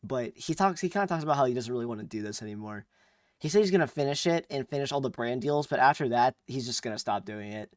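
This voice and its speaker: A man's voice: medium pitch, animated, fast talker, no room sound, studio quality. A young man co-hosting a casual podcast, rambling along with fillers and restarts. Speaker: podcast co-host. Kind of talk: casual chat.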